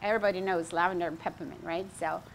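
A woman's voice: lowish voice, steady tone, racing, slight background noise, poor audio. A woman in her fifties, originally from Croatia, giving an informal wellness lecture with many fillers and restarts, gesturing as she speaks. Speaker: foundation president giving a lecture. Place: indoor pavilion room in a park.

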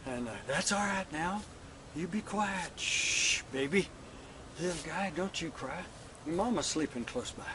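A man's voice: on the high side, moderate pace, noisy background, close to an everyday voice. A man playing a flustered frontier cowboy who has just become a father. He speaks with a homespun, folksy drawl. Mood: tender. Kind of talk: one-man stage monologue.

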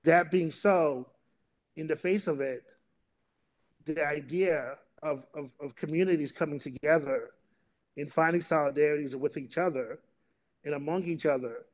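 A middle-aged man moderating a panel and introducing its topic and speakers, in a halting delivery that often repeats words. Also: a little high. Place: remote video call.

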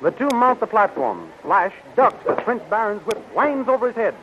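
An actor as the dramatic, declamatory announcer narrating a 1930s radio adventure serial. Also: male voice, high-pitched, very animated, moderate pace. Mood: dramatic urgency. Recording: muffled, hissy 1930s radio recording.

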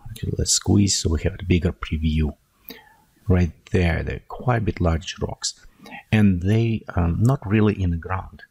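Tutorial narrator: man, deep gravelly husky voice, dark tone, dry close mic, thinks aloud while clicking. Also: dry recording. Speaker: software tutorial instructor. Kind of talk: screen-recorded software tutorial.

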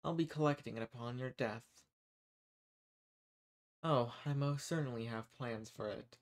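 Guy doing a smug old demon lord for a roleplay audio, mid pitch, condescending n amused, stretches out words for emphasis. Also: a little slow, close to an everyday voice. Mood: smug, commanding. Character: smug, dominant ancient male archdemon dealmaker. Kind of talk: scripted roleplay audio monologue.

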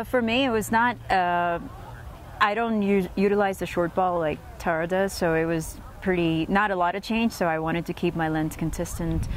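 A woman in her thirties, a player giving post-match interview answers outdoors into a lapel mic. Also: on the low side. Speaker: cricket player. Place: outdoor cricket field. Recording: faint outdoor ground noise.

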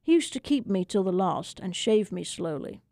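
A woman's voice: medium pitch, sing-song, normal speed, vocal fry, warm tone, no echo. A woman narrating a first-person story as a dramatic audiobook reading, recorded in a radio studio.

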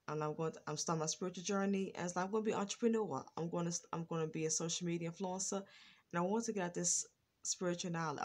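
A woman vlogger talking casually to her phone camera, stuttering and restarting her words. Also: fairly low pitch. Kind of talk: casual reaction vlog. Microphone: phone front camera.

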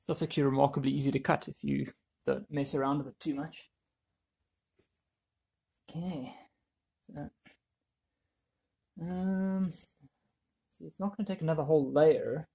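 Husky, creaky man talking through DIY. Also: a little high, fairly even intonation, measured pace, pauses often, warm tone. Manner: offhand, thinking aloud. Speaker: DIY video presenter. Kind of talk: casual hands-on how-to narration.

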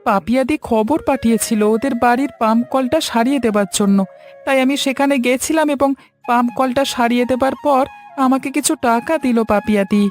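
A dutiful young village lad from a cartoon , acted by a woman. The voice is fairly high, earnest and reassuring, with faint music behind it. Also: brisk, a little mellow, lightly acted. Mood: concerned. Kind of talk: cartoon story dialogue. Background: background music.

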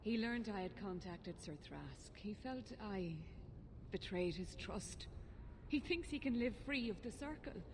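A woman's voice: medium pitch, animated, takes it slowly, background noise. An actress playing an anxious, earnest elven mother who fears for her child, in grave fantasy game dialogue.

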